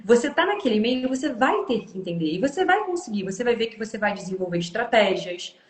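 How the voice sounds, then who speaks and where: A woman's voice: on the high side, very animated, fast talker, roomy sound. A woman with a Brazilian Portuguese accent, an English teacher explaining her views in an encouraging way as a guest on a live stream. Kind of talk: casual live-stream conversation.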